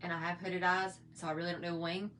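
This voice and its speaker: A middle-aged woman with a slightly hoarse voice, chatting in a folksy, rambling way while she shows her makeup routine. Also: on the low side, flat delivery, quick pace, fairly bright tone, a little hiss or hum. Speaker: makeup vlogger. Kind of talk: casual makeup tutorial chat.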